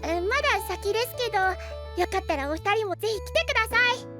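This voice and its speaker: A man's voice: very high voice, lively intonation, quick pace, over music. A man voicing a small, cute boy apprentice knight in an anime-style drama, polite, eager and cheerful. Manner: polite, eager, childlike, refers to self by name.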